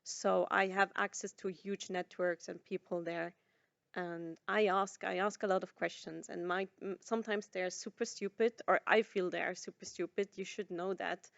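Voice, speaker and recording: A woman's voice: on the low side, steady tone, normal speed, vocal fry, dry recording, lo-fi sound. A woman who grew up in Switzerland, answering a forum question at length with many fillers and restarts. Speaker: social entrepreneur answering questions. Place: conference room.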